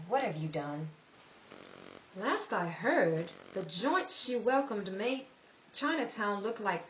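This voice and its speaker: Wry, theatrical 1930s radio dame, woman actor, highish animated voice, wistful slangy narration, roomy sound. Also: dull audio. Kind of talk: 1930s old-time radio drama narration. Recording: echoey, distant room with hiss.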